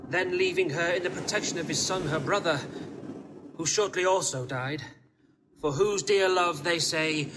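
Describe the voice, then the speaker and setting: Actor as higher-voiced, loyal sea captain. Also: male voice, noisy background, close to an everyday voice. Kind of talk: classical Shakespearean audio drama acting.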